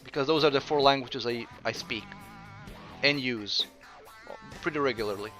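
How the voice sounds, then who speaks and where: Bright-voiced Croatian-accented man streaming. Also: medium pitch, faint music behind. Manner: chatty, answers viewer chat with frequent "uh" pauses. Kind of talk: casual gameplay commentary.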